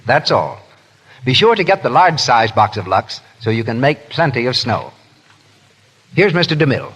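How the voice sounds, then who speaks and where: Husky 1930s American radio announcer, reverberant hall. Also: male voice, mid-range voice, very animated, moderate pace, fairly bright tone.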